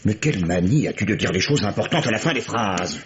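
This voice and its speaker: An actor playing a weary, wry police commissioner in a radio mystery, questioning tersely and often repeating himself. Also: male voice, on the low side, animated, fairly quick, close to an everyday voice. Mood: businesslike, wry. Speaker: actor in a radio crime drama. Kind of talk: French radio mystery acting. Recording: muffled, noisy old radio recording.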